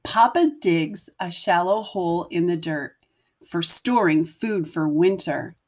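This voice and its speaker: A woman's voice: lowish voice, slow pace. Woman teacher reading aloud to children. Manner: instructive, asks the listeners questions, addresses children simply. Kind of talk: picture-book read-aloud with commentary to children.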